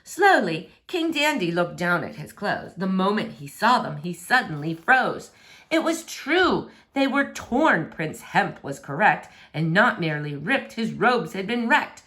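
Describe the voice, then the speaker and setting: A woman narrating a rhyming children's story aloud in an expressive style. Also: mid-range voice, measured pace.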